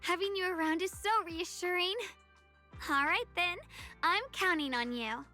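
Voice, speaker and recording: A very high, bright-voiced young woman from a game. She is eager and trusting, speaking earnestly and getting flustered when teased. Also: expressive, measured pace, faint music behind, full character voice.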